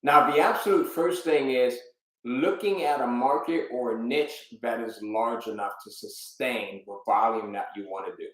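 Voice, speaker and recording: A man's voice: mid-range voice, moderate pace. A man teaching a live online business webinar, speaking with energy and emphasis and asking his viewers frequent rhetorical questions. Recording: very echoey room.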